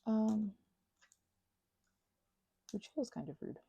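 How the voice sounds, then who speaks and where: A woman rambling casually and unscripted while crafting, halting and wandering off on tangents, with excitable, gushing exclamations. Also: mid-range voice, vocal fry, slightly rough, no echo. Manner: halting, digressive, with gasps and exclamations. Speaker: craft and ASMR channel creator.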